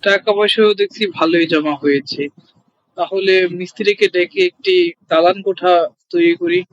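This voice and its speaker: Man voicing a high-pitched humble cartoon villager. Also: normal speed, lo-fi sound, a little hiss or hum, lightly acted. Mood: pleased. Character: poor, worried village man turned hopeful shopkeeper. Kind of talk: cartoon character dialogue.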